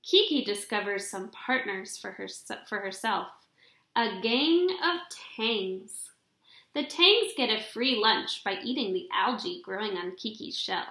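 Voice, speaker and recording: A young adult woman reading a children's picture book aloud in an expressive, deliberate storybook delivery, recorded in a room at home. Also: medium pitch. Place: small indoor room at home. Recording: roomy, echoing home webcam recording.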